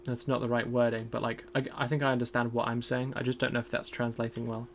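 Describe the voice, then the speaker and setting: A man streaming a game in casual commentary. He is chatty and self-deprecating, thinks aloud, and has a loud voice. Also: mid-range voice, flat delivery, fast talker, a little hiss or hum.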